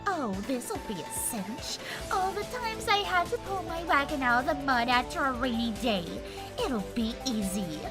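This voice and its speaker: A woman's voice: high voice, sing-song, normal speed, over music. A woman voicing a boastful, melodramatic female unicorn magician pony in a cartoon-style fan audio drama. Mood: overconfident. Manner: haughty, melodramatic, speaks of herself in third person.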